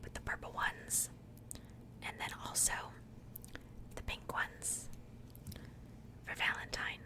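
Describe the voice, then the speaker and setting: A woman speaking in a breathy, unvoiced whisper, chatting softly and informally in the style of an ASMR unboxing. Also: takes it slowly. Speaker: ASMR video creator.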